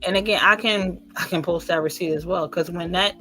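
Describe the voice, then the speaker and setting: A woman with a deep voice, talking loud and boisterous as a guest in a casual online interview over a call. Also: brisk, poor audio.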